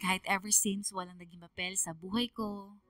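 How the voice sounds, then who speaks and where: A woman's voice: medium pitch, steady tone, normal speed, a bit bright, dry recording, natural voice. A woman playing a troubled teenage daughter, narrating earnestly and plaintively in a Filipino radio drama. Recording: music bed underneath.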